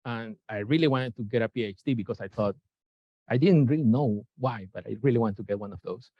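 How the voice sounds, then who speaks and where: A man's voice: mid-range voice, a little fast, dry recording. A man, giving an informal science lecture, hesitant with many fillers and self-corrections. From Peru. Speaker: lecturer, NASA chemist. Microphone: room mic at a lecture, Zoom shared. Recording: dull, dry lecture recording.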